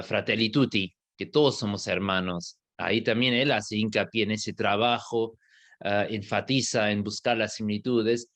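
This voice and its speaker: A man, an imam, with a foreign accent partly shaped by Argentine Spanish, speaking in a measured, thoughtful way with frequent hesitation sounds and restarts as he recounts a personal experience. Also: lowish voice, a bit bright. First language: not Spanish.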